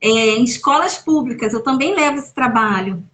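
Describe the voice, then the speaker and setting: A woman's voice: sits high, expressive, normal speed, a bit bright, slight background noise. A woman with a Brazilian Portuguese accent, an educator who runs school workshops, talking casually and emphatically on a live video call. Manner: emphatic, encouraging, rising when making a point. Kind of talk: casual conversation on a live stream. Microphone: phone or laptop on a live video call.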